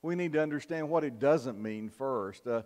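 An older man preaching a sermon in a church, in a measured, earnest style with emphatic pauses. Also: medium pitch, expressive, darkish tone. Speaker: pastor. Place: church sanctuary, at the pulpit. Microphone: fixed camera from the back of the church.

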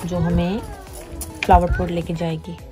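A woman vlogger calmly narrating a boat tour, explaining the sights as she goes. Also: on the low side, moderate pace, faint music behind. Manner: calm explanatory voice-over, mixing in English phrases. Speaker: travel vlogger. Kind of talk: travel vlog narration. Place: outdoors on a tour boat on a lake.

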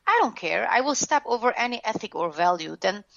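A woman's voice: mid-range voice, sing-song, a little fast, gravelly, no room sound, muffled recording. A woman with a Lebanese accent, a former TV host, frankly telling her life story in an interview, full of fillers and restarts. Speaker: guest, author and former TV host.

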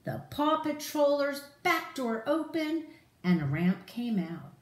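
A middle-aged woman reading a picture book aloud to children in an expressive, direct way. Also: high-pitched, slow pace, echoey room. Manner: animated, addressing children directly. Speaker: storyteller for children. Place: room in a home, indoors.

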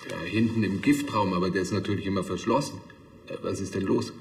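Low-voiced, quick-talking doctor. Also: male voice, steady tone, echoey room, a little hiss or hum. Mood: puzzled. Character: puzzled hospital laboratory doctor questioned by police. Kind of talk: TV crime drama acting.

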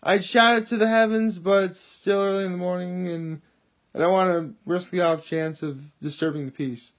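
A young man with a loud voice, chatting in an upbeat, rambling way for his daily video diary, filmed on a phone at arm's length in his living room. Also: high-pitched, fairly even intonation, moderate pace. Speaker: vlogger. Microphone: phone camera held at arm's length.

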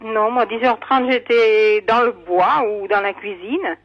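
A woman's voice: on the high side, unhurried, dull audio. A woman in a spontaneous phone conversation, giving brief, plain, credulous replies. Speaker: prank call recipient.

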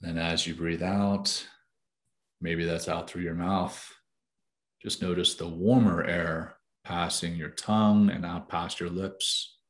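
A man with a low voice leads a guided meditation in a calm, soothing manner. He speaks slowly and deliberately, keeping his intonation fairly even as he gives each instruction.

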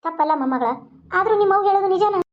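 Woman playing an old grandmother, North Karnataka accent, rustic, scolding, very high pitch, fast talk. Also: expressive, dull audio. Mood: lecturing. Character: blunt, boastful old village grandmother.

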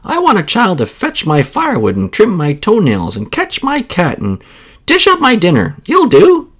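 A cranky old storybook woman, acted out by a man, bossy and insistent. The voice climbs high and shrill as the demands pile up. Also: wildly swooping pitch, moderate pace, a bit bright, exaggerated voice. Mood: bossy, demanding.

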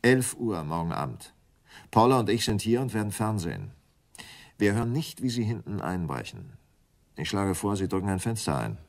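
A cool, calculating male schemer in a radio crime play. His voice is very low yet moves freely in pitch, as he lays things out with controlled command. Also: sing-song, normal speed, gravelly. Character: cool, calculating husband plotting a staged kidnapping. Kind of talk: radio crime drama acting.

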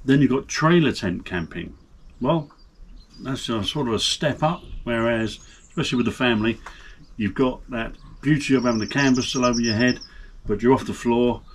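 A man's voice: medium pitch, normal speed, a little hiss or hum, low-quality recording. A middle-aged man chatting casually to camera outdoors in a garden while showing camping stoves by hand. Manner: chatty, plain-spoken, demonstrating stoves by hand. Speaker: camping vlogger. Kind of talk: casual gear demonstration to camera. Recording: outdoor birdsong in background. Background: birdsong.

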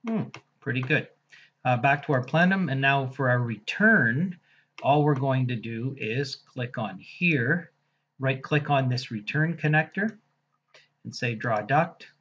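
Husky-voiced man, casual software tutor talking through clicks, dull lo-fi screen-capture recording. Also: mid-range voice, animated, a little slow. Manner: casual, thinking aloud while clicking through steps. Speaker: software instructor.

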